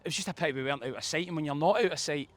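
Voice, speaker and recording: A man's voice: fairly high pitch, racing, a bit bright. A man giving effusive, opinionated post-match football reaction as a fan pundit, speaking in wordy stretches. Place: outdoors outside a football stadium. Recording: outdoor street noise behind.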